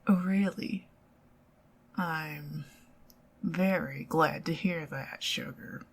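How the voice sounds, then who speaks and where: A middle-aged woman with a Southern US accent, speaking softly and partly in whispers in an ASMR date roleplay. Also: low-pitched, extremely slow, gravelly, breathy edge. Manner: intimate, soft-spoken, giggly, partly whispered.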